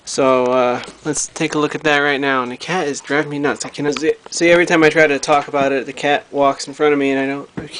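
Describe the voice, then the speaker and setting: Male hobbyist vlogger, slightly high voice, unscripted and halting show-and-tell, lightly noisy homemade audio. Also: level tone, fairly bright tone. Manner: halting, thinking aloud while handling the object. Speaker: hobbyist vlogger showing a radio repair project. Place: indoors at home.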